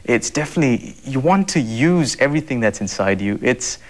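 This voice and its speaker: A man's voice: mid-range voice, lively intonation, fairly quick. A young man from Zambia, answering interview questions earnestly, with many fillers and repeated words. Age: young adult. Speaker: guest.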